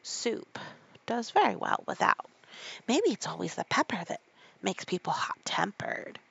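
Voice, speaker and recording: A courteous, curious little storybook girl, as a woman reader plays her. She speaks in a drawn-out way with some fry, on a slightly muffled recording. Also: medium pitch, big pitch swings, a bit bright, full character voice. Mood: musing. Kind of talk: sleepy read-aloud of a children's classic.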